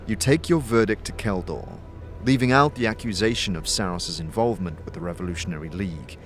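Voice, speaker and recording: A man reading scripted second-person narration as the storyteller of a fantasy audio drama. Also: low-pitched.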